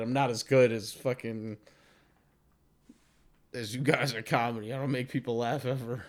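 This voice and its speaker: A man's voice: mid-range voice, fairly bright tone. A man in his forties hosting a comedy podcast, chatting casually in a profane, rambling way. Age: 40s. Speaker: podcast host.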